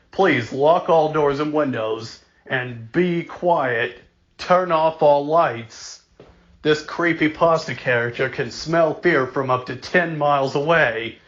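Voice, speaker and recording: A man playing a formal, grave emergency alert announcer, reading scripted warnings in a deliberate, measured way. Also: mid-range voice, a bit bright. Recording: dull lo-fi audio.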